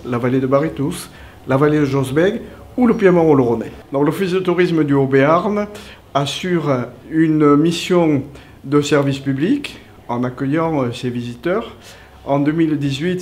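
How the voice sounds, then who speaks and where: A man giving a prepared, formal presentation as a tourist office representative, in a measured, official manner and partly reading it out. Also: a little high, darkish tone.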